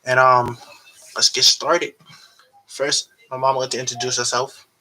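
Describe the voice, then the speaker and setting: An eighteen-year-old man hosting his video, relaxed and hesitant with many ums. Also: mid-range voice, moderate pace, low-quality recording. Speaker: host. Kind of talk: casual video intro.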